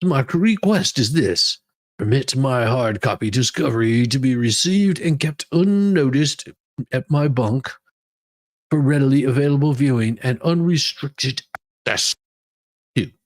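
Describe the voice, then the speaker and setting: A man giving a mock-dramatic reading as a demanding woman inmate pleading her own case, with halting, emphatic delivery. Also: medium pitch, lively intonation, lightly acted. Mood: earnest, insistent.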